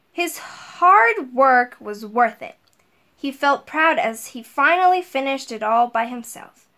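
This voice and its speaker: High-voiced middle-aged woman, slow and simple delivery for children, school counselor style. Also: a bit bright. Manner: deliberate, simple explaining to children. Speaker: elementary guidance counselor. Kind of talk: children's storybook read-aloud and talk to pupils. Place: indoors, small room at home. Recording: roomy echo, distant mic.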